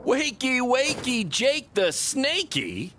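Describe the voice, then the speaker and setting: Wildly animated, scheming wrestling promoter. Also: male voice, very high voice, takes it slowly, slight background noise, full character voice. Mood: excited, hyping. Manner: salesman-like, hyping, self-satisfied. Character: excitable, scheming male small-time wrestling promoter. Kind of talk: animated video game cutscene acting.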